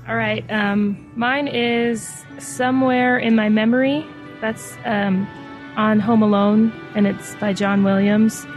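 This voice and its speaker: Slow-speaking woman over clear music. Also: fairly high pitch, flat delivery. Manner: thoughtful, hesitant, with many fillers. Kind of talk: casual chat.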